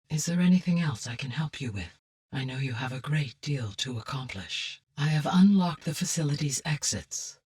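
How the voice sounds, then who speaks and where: Calm female video game ai, low husky voice, formal n precise, erudite, sounds slightly distant. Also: full character voice. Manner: formal, measured, precise diction. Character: calm, caring, erudite female artificial intelligence guardian of Earth. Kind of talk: scripted video game dialogue.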